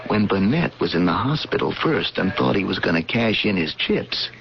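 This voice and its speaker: An actor playing a folksy, dogged police lieutenant in an old-time radio crime drama, slangy and emphatic. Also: male voice, sits low, sing-song, normal speed, gravelly, exaggerated voice. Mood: gruff, confiding. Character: folksy, hard-boiled police lieutenant, world-weary and dogged. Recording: muffled, hissy old radio recording.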